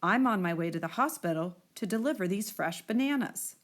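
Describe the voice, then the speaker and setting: A woman's voice: mid-range voice, expressive, a little fast, lightly acted. A woman voicing a kindly, polite lady in a storybook, friendly and gracious.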